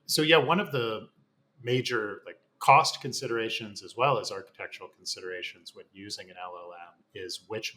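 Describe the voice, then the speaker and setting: A man with a low voice and a bright, forceful tone hosts an interview. He affirms what his guest says and asks long, winding questions. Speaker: podcast host. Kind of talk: interview hosting.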